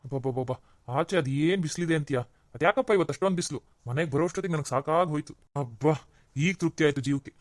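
A woman's voice: low-pitched, fast, a little mellow, no echo, clean studio sound, close to an everyday voice. Woman voicing a weary middle-aged husband. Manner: weary, sighing.

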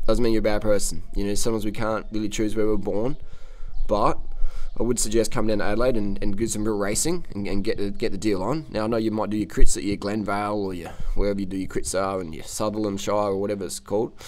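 A man's voice: fairly low pitch, steady tone, quick pace, darkish tone. An Australian man giving unscripted, casual cycling commentary in a blunt, cocky, slangy way, often repeating phrases for emphasis. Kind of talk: unscripted casual commentary over race footage.

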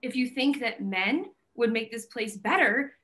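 An actress plays a high-voiced woman who runs a gallery with fire and conviction. She is assertive and bossy, leaning on her words as in a stage play read over a video call. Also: animated, a little slow, fairly bright tone. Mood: angry, exasperated. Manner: assertive, emphatic, declarative. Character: fiery, bossy, idealistic woman gallery owner. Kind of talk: virtual staged play reading. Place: plain indoor room, video call.

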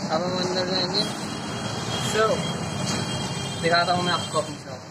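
A man's voice: sits high, unhurried, heavy background noise. A young man in his twenties showing viewers around his shop in a casual vlog, upbeat and mixing English phrases into his talk, filmed on a phone held at arm's length. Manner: upbeat, mixes English into Hindi. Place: inside a small electronics shop.

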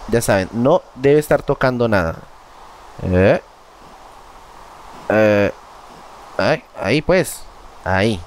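A man's voice: mid-range voice, darkish tone, slight background noise. A man with a Colombian accent giving casual, joking commentary over his gameplay and talking straight to his viewers. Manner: chatty, joking, addresses viewers as buddies. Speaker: gaming YouTuber.

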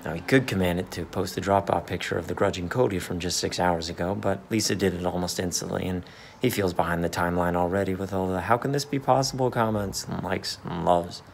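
A man's voice: low voice, steady tone, a little fast, gravelly. A man in his thirties playing a brooding, hesitant married father in a dramatic third-person monologue reading. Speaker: actor in a staged reading. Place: in a car, then beside a wall. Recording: car and ambient noise behind.

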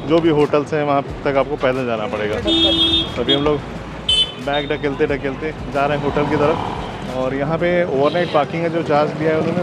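A man's voice: on the high side, heavy background noise. A man in his forties talking to the camera on a travel vlog, chatty and giving tips, recorded outdoors on a lapel mic. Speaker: travel vlogger. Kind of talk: casual travel vlog narration. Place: outdoors on city streets and a rooftop. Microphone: clip-on lapel mic.

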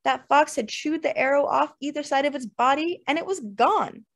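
A woman voicing a loud, drunk, volatile man in dramatized audiobook dialogue. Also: sits high, moderate pace, voice pushed up. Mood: boastful.

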